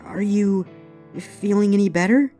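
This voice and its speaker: Man voicing an anxious, very high-pitched young pony mare. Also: a little slow, warm tone, soft background music. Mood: alarmed, concerned. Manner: urgent, exclamatory. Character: anxious young female unicorn pony student, earnest and pleading. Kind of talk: dramatic fan fiction reading.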